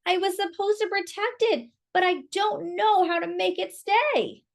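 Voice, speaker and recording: A woman voicing a gentle, worried moon keeper in a children's picture book read-aloud. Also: very high-pitched, a little slow, pitched above the performer's own voice. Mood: dismayed.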